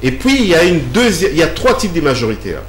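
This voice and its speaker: A man's voice: a little high, very animated, quick pace, a bit bright. Hesitant man explaining in an echoey room. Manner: hesitant, many fillers and repeated words. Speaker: guest. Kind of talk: explanatory interview answers. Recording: echoey room with faint hum.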